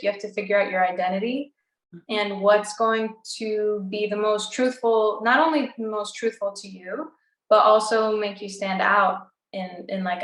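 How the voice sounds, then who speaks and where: A woman's voice: mid-range voice, a bit bright. Chatty young woman in an echoey room. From United States. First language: Greek. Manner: bubbly, lots of "like" and "um". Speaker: recording artist guest. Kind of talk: casual interview chat.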